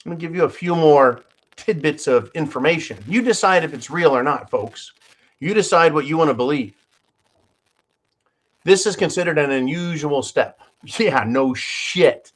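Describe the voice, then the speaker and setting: A man's voice: on the high side, lively intonation, normal speed. A man hosting his own channel, giving opinionated news commentary in a sarcastic, profane way and often addressing his listeners as folks. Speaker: channel host.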